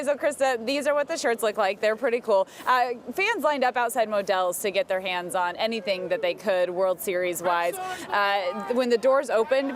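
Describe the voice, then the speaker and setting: An American woman working as a TV reporter, giving live morning news from outdoors with casual banter. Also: on the high side. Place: outdoors outside a city ballpark. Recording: live outdoor broadcast with background street noise.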